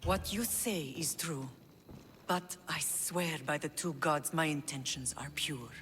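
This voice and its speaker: A woman's voice: low voice, measured pace, a bit bright, a little hiss or hum. A solemn Spartan mother, reverberant. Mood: solemn, resolute. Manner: solemn, composed. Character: dignified, resolute middle-aged Spartan mother. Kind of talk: dramatic video game acting. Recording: reverberant space with faint ambience.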